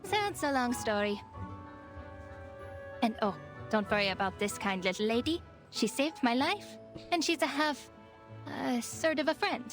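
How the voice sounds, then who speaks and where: Guarded young female arcanist: fairly high, wry, sarcastic game character, light music underneath. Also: expressive, measured pace, long pauses. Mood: wry, composed. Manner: dry, sarcastic. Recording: faint music behind.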